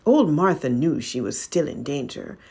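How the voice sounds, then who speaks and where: A woman's voice: low voice, very animated, normal speed, vocal fry, a little mellow, slightly rough. A woman storyteller reading a folk tale aloud in a dramatic narrating style.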